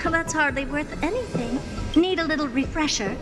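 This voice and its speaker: A woman's voice: sits high, normal speed, natural voice. A woman voicing a haughty, smug genius scholar in a video game, speaking in a pompous, mocking, theatrical manner. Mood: smug, menacing. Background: music.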